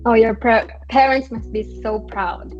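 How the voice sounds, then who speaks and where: A woman's voice: fairly high pitch, fairly even intonation, measured pace, highs cut off, faint music behind. A woman hosting a podcast, cheerful and encouraging, often answering with a short "yes" or "mm-hmm". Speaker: podcast host. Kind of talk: casual interview chat.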